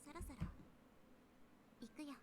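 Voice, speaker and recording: A woman's voice: a little fast, noisy background, natural voice. A woman playing an earnest, resolute young daughter in a Japanese visual novel.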